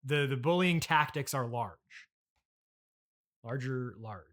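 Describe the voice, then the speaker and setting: A man's voice: mid-range voice, takes it slowly, a bit bright. A man interviewing in an improvised comedy conversation, hesitant and stammering, with an awkwardly defensive manner.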